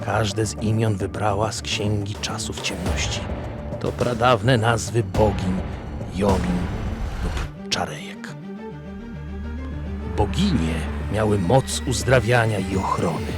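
Low-voiced male drama narrator. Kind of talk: scripted dramatic storytelling narration.

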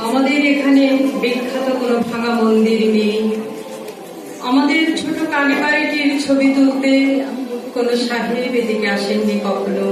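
Slow-paced woman reciting verse, very echoey. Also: fairly high pitch. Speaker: recitation performer. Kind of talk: measured poetry recitation. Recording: very echoey, distant, music behind. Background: music.

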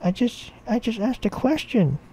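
A man's voice: extremely high pitch, expressive, normal speed, mellow, husky, voice pushed up, full character voice, poor audio. A man voicing an innocent eight-year-old boy in a spoken story, talking plainly and matter-of-factly. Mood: innocent, explaining.

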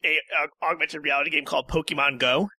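Male panelist, highish and very bright voice, conversational, close dry sound. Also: fairly even intonation, quick pace, dry recording. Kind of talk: casual discussion.

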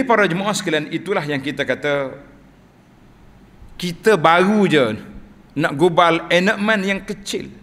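A middle-aged man with a Malaysian Malay accent giving a declamatory Friday sermon from a mosque pulpit, slowing down to stress key words. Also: a little high, a bit bright. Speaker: Friday preacher. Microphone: two pulpit microphones on stands. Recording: long reverb of a large mosque hall.

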